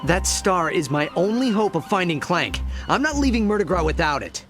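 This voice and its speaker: A man's voice: sits high, very animated, moderate pace, faint music behind. A man voicing a determined young alien hero in a cartoon game.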